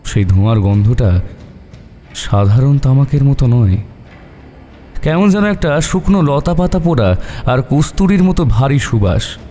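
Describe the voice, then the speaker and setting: A man's voice: mid-range voice, lively intonation, a little slow, long pauses, dark tone, slight background noise. A man giving a dramatic, scripted narration of a horror story. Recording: long reverb over faint music. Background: faint music.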